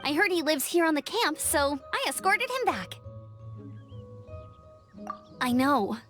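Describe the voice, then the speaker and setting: A woman's voice: sits high, sing-song, slow pace, a bit bright, soft background music, full character voice. A woman playing a friendly, caring young scout in a video game, chirpy, informal and earnest. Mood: concerned, earnest. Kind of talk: video game character acting.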